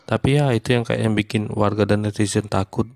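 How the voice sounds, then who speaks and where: A man voicing a male monkey stand-up comedian in a cartoon who is running for mayor, telling jokes in a deadpan, even way. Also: lowish voice, fairly quick, gravelly, no echo, clean studio sound.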